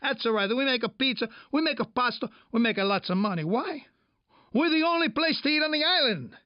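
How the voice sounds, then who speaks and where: A boastful Italian pizzeria owner pitches his place in a cartoon radio ad. His voice is extremely high, with a thick comic accent. The sound is dry and somewhat dull, like old game audio. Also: animated, a little fast, dry recording. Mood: cheerfully boastful. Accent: exaggerated Italian. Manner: broken-English salesman patter.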